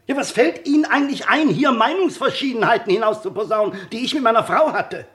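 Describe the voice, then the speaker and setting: A man's voice: very high voice, expressive, quick pace, a bit bright. A male actor playing an indignant, choleric little supermarket owner in a radio crime play, with a Cologne lilt and a blustering, breaking voice. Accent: Rhineland, Cologne lilt. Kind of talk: vintage German radio drama acting.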